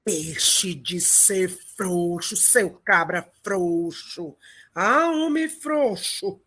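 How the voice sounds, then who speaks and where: A woman playing an adult male Trojan warrior leader, a steadfast father, in an audio drama with a husky voice. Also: lowish voice, lively intonation, extremely slow, fairly bright tone, exaggerated voice. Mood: exasperated.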